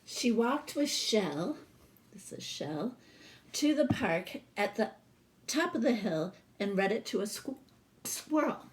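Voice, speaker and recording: Woman, teacher, slow unhurried pace, mid-range pitch, expressive picture-book read-aloud, casual explanatory asides. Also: slight background noise, poor audio. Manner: pauses to explain the pictures to listeners.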